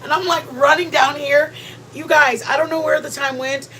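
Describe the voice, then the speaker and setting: A woman's voice: sits high, fairly even intonation, normal speed, bright, forceful tone, slight background noise, rough recording. A woman hosting a livestream from home, sounding congested and rambling chattily with many restarts. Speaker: livestream host. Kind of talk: casual livestream chat. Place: at home, near the kitchen.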